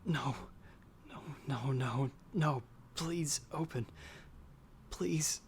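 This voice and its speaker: A man playing a timid young traveler in an ASMR audio roleplay, speaking softly and hesitantly. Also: a little high, extremely slow. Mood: panicked, pleading. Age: young adult. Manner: soft, hesitant, stammering when afraid. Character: timid young male traveler, storyteller trapped by a vampire. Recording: background storm noise.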